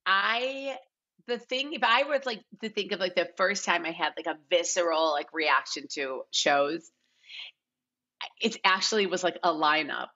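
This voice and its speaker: A middle-aged American woman chatting casually as an interview guest, animated and emphatic, often acting out quoted asides. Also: fairly low pitch, a little slow. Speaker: guest, TV and film casting director. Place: bright living room on a video call. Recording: dull lo-fi video-call audio.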